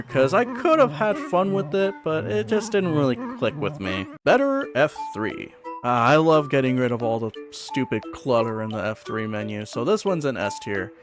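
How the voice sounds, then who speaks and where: Guy chatting casually, higher voice, really animated pitch, music playing behind him. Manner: chatty, self-deprecating, informal. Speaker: video creator ranking game mods. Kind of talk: casual commentary over a tier list. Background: background music.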